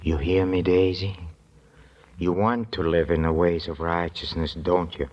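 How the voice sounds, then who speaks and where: An actor playing a mad, educated religious fanatic who preaches scripture haltingly and fervently in an old-time radio drama. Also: male voice, little pitch movement, darkish tone. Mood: hushed, fervent. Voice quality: low voice. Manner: hesitant, halting, fervent scripture-quoting speech. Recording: muffled vintage radio recording.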